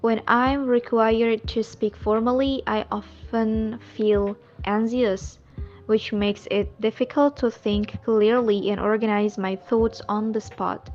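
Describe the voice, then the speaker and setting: Slow, muffled woman speaking in measured tones. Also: fairly high pitch, steady tone, darkish tone. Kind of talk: answering interview questions. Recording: dull, muffled audio with faint music.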